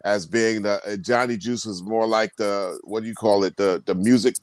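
A man co-hosting a casual video call chat, talking in a rambling, animated way with many restarts. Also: lowish voice, normal speed, no room sound. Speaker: tour promoter and co-host.

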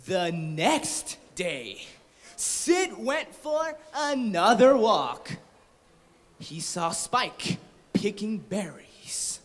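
A teenage boy from Thailand narrating a children's story at a stand mic on a hall stage, measured and expressive. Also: high voice. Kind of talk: readers' theatre storybook reading. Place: large indoor hall stage. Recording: long hall reverb.

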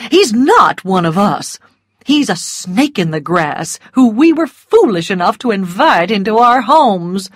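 A woman's voice: medium pitch, big pitch swings, a little slow, dry recording, clean studio sound. A woman voicing a proud, disdainful older hostess who speaks with firm contempt, in a storybook reading.

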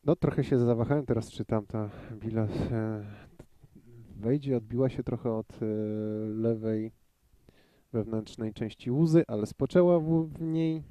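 A male commentator calls a pool match at an unhurried pace. His voice is very dark and mellow, and he pauses often to think aloud. The booth sound is dry and close. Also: medium pitch, no echo. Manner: measured, thinks aloud with many hesitations. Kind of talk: live sports commentary.

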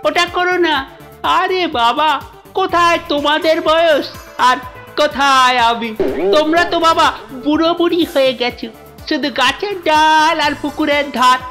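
A woman's voice: high voice, fairly bright tone. A woman voicing a cocky, stubborn young cartoon turtle who talks in a defiant, dismissive, grumbling way. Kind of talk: children's cartoon dubbing. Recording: music behind the voice.

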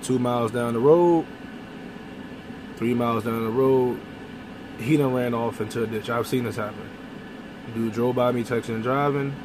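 Young male trucker, blunt talker, phone-recorded in the cab with steady road noise. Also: mid-range voice, moderate pace, pauses often. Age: young adult. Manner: blunt, animated, with mild cursing. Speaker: truck driver vlogger. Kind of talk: casual vlog monologue. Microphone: phone camera close up. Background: vehicle and air-conditioning hum.

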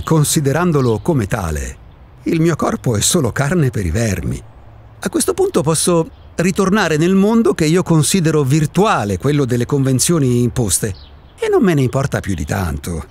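Actor as husky, animated eccentric loner. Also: male voice, a little high. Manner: provocative, impatient, philosophizing. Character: eccentric solitary man insisting he does not exist. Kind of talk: Italian radio drama acting.

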